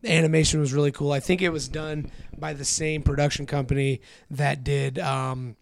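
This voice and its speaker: A hoarse man co-hosting a podcast, chatting casually and eagerly with lots of fillers. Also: a little high, little pitch movement, normal speed, slight background noise. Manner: enthusiastic, many fillers. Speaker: podcast co-host. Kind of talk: casual chat.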